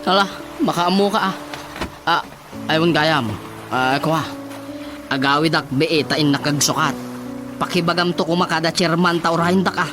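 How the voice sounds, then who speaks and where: Man acting a high-pitched, bumbling village councilman. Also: normal speed, pauses often, music in the background, close to an everyday voice. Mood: boastful, teasing. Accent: Ilocano. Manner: stammering, flustered, repeating exclamations. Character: bumbling, vain, excitable village councilman, comic sidekick. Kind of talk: Ilocano radio comedy drama acting.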